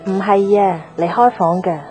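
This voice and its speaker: An actress playing a blunt, sarcastic young office worker in a radio drama, dry and offhand. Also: female voice, medium pitch, moderate pace, mellow, lightly acted, low-quality recording. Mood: brisk, sarcastic. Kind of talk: Cantonese radio drama dialogue. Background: background music.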